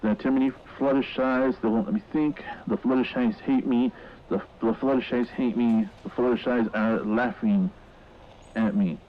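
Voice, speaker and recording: A man's voice: medium pitch, steady tone, normal speed, lightly acted. Through dull, lo-fi audio, a man acts out a rattled male mall worker in a horror audio drama. He rambles informally, stumbling and repeating words as he recounts something awful. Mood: uneasy reading.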